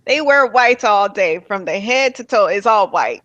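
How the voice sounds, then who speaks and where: A woman from Miami being interviewed about her childhood, speaking softly and thoughtfully with pauses and light laughs. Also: mid-range voice, expressive, dry recording, highs cut off. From Miami, USA. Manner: soft-spoken, thoughtful, with pauses and fillers. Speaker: interviewee, spiritual healer. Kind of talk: reflective personal interview.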